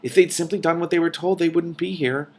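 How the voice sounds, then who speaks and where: A man's voice: fairly high pitch, fairly even intonation, a little fast, breathy edge, voice pushed up. Man reading as a dry, authoritative female dragon. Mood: scornful. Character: female dragon, matter-of-fact, scornful of foolish princes.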